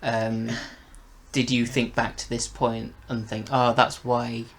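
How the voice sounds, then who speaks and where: A man's voice: mid-range voice, flat delivery, slow pace. A man hosting a casual review discussion, talking conversationally. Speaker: podcast review host.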